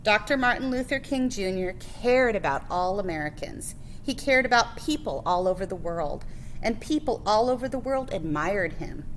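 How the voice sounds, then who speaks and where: A woman's voice: medium pitch, animated, normal speed, a little hiss or hum, low-quality recording. A woman reading a children's picture book biography aloud as a librarian, phrase by phrase with emphasis on key words.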